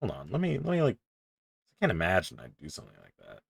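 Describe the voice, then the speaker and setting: Young man, very deep voice, rambling streamer chatter, swears casually, dry close sound. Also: huge pitch swings, fairly quick, gravelly, slightly rough, no room sound. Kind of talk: casual commentary while drawing.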